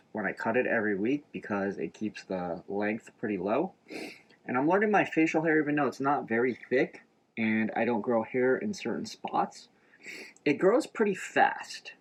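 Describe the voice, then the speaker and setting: Stuffy-nosed man chatting casually. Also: medium pitch. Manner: rambling, relaxed, many fillers. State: stuffed up, a little nasal. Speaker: shaving vlogger. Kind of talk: casual chat to camera. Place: inside an RV.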